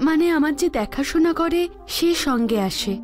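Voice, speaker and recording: A woman's voice: sits high, animated, normal speed. A woman voicing a polite, dutiful young princess in a children's cartoon, with music behind her.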